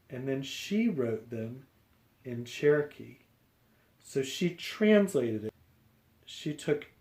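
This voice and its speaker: A man reading a picture book aloud to young children, explaining deliberately phrase by phrase. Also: medium pitch, dark tone, reverberant.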